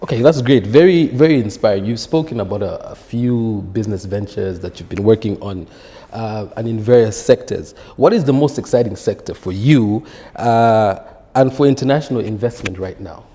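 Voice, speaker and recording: A man's voice: fairly low pitch, moderate pace, dark tone. A man hosting an interview show, welcoming a guest and asking questions, with short acknowledgements in between. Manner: brief prompts and acknowledgements. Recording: long reverb, faint music.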